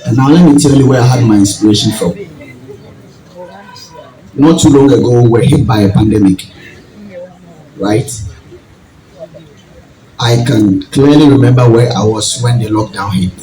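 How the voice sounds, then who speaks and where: A man with a deep voice and a Ghanaian accent gives a public talk, deliberately, in short phrases and questions to the audience. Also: dark tone. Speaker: guest speaker, farming group leader. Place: event venue with audience. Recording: distant, echoey hall with audience noise.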